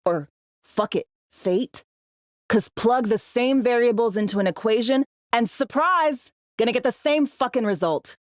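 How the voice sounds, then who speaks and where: A woman's voice: fairly high pitch, a little slow, no echo, studio quality, lightly acted. A woman playing a brash, foul-mouthed, world-weary female spy in a video game, talking in clipped, slangy, sardonic lines. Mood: wry, sardonic. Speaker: undercover field agent in a sci-fi game. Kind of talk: video game character dialogue.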